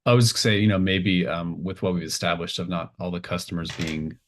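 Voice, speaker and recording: A man running a tabletop game as game master, improvising narration in a halting way, thinking aloud mid-sentence. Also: sits low, fairly even intonation, fairly bright tone.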